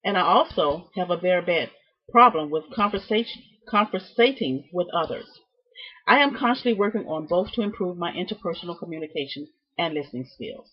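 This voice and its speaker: Husky-voiced woman reading a prepared speech. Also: fairly low pitch, expressive, fairly quick. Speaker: student presenting a class project. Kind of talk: prepared speech, read from notes. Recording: dull, muffled lo-fi sound.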